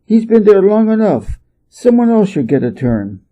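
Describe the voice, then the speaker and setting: Man putting on a cocky, high-pitched monkey king. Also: sing-song, normal speed, mellow. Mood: boastful. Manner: brash, indignant, challenging. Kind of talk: storybook character voice.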